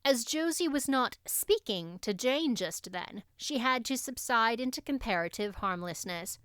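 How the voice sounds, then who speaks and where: A woman's voice: fairly high pitch, animated, a little slow, fairly bright tone, clear, resonant tone, dry recording, studio quality. A woman audiobook narrator reading a classic novel expressively.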